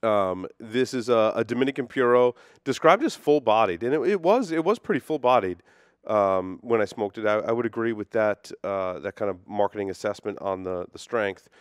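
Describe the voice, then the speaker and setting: A man's voice: on the low side, expressive, normal speed, clean tone, no echo, clean studio sound. A man co-hosting a casual podcast, giving earnest, emphatic opinions. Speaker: podcast co-host. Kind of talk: casual chat reviewing cigars.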